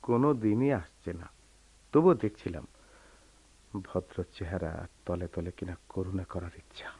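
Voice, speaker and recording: An actor playing a proud, brooding, quick-tempered young gentleman in a theatrical radio play. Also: low voice, slightly rough, full character voice. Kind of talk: Bengali radio play acting. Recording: dull, noisy old radio recording.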